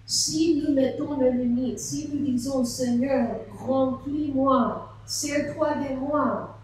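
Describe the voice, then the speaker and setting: Woman pastor, slow sermon, higher voice, echoey hall from far off. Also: a little hiss or hum. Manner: animated storytelling with rhetorical questions. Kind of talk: sermon at a seminar. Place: large echoey church hall.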